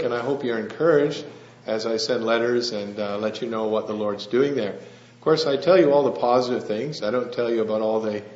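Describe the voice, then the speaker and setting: A soft-spoken missionary preacher giving an earnest sermon, pausing often with "uh". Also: male voice, medium pitch. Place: church hall. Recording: roomy lo-fi church recording with faint hum.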